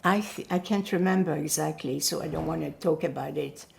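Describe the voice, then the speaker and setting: An older woman whose first language is Yiddish, recalling her family in an oral history interview, pausing often to search for words. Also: low voice, vocal fry, darkish tone, a little hiss or hum. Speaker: Holocaust survivor giving testimony.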